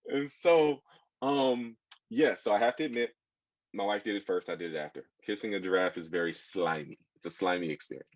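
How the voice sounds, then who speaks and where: Low-voiced American man, echoey dull recording. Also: fairly quick. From United States. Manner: conversational, addresses listeners with questions. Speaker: children's book author giving a talk. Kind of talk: informal audience presentation with slides. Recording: dull, echoey room sound.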